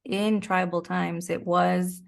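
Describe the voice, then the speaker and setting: Gravelly-edged woman in her forties, lowish voice. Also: flat delivery, slow. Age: 40s. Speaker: interview guest. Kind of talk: reflective conversational interview.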